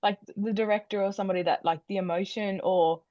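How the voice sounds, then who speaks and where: A woman hosting a casual podcast interview, halting and full of fillers as she frames her questions. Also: mid-range voice, steady tone, dark tone, no room sound, highs cut off. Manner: halting, filler-heavy, giggly. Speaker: podcast host.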